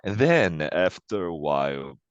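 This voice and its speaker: A young man in his twenties from Brazil with a Brazilian Portuguese accent, answering interview questions casually and thoughtfully, with restarts and soft laughs. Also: deep voice, big pitch swings, unhurried, smooth, dry recording, highs cut off.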